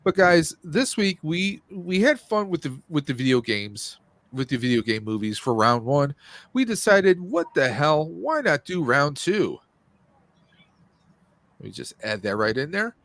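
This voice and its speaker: A man's voice: on the high side, very animated, a little slow, fairly bright tone, dry recording. Casual male podcast host, dry close sound. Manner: informal, jokey asides. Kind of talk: casual livestream chat about movies and games.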